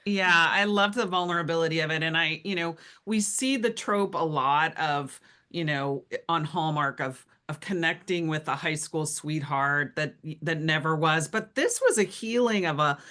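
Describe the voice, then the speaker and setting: A woman's voice: deep voice, normal speed, hardly any pauses, sharp tone. A woman hosting a podcast in a casual, conversational style. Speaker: podcast host. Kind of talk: casual chat.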